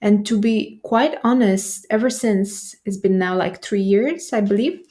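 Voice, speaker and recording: A woman's voice: mid-range voice, unhurried, warm tone. A woman from Romania with a Romanian accent, a nervous system coach speaking as a podcast guest in a reflective, hesitant way.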